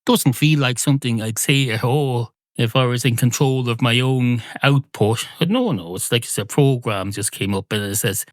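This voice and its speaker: A man voicing a flustered, tetchy barman resigned to being a copy, rambling haltingly in a comic podcast story. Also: mid-range voice, no echo, clean studio sound, close to an everyday voice.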